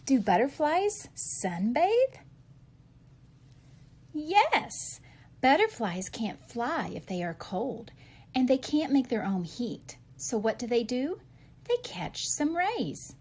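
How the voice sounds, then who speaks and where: Woman doing a kids book read-aloud, slow and swoopy, bit husky. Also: high voice. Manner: expressive question-and-answer delivery, carefully paced for children. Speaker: read-aloud reader for young children.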